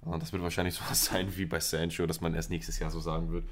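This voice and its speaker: A man's voice: low-pitched, flat delivery, fast talker. A man co-hosting a podcast, chatting casually with a wry, joking manner. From Southern Europe. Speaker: podcast co-host. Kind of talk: casual chat.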